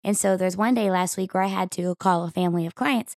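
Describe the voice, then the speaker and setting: A woman from Oklahoma with a raspy, hoarse voice from a cough, co-hosting a podcast in playful, joking casual chat. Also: fairly low pitch, fast talker, dry recording, clean studio sound. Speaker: podcast co-host.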